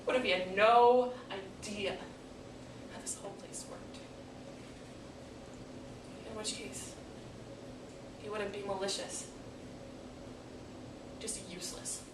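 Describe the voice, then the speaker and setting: A woman's voice: mid-range voice, close to an everyday voice. Woman acting grieving daughter, echoey stage monologue. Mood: quiet disillusionment. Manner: deliberate, dramatic pauses, swelling from hushed to pleading. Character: grieving daughter bitterly questioning God after her mother's death. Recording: echoey room, distant mic, background noise.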